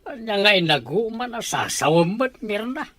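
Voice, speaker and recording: Man acting sharp, defiant greedy Ilocano man. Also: high voice, very animated, normal speed, dry recording, exaggerated voice. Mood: defiant, irritated. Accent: Ilocano, from the Philippines. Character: greedy, defiant male landowner or his kin, gruffly dismissive. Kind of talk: Ilocano audio drama acting.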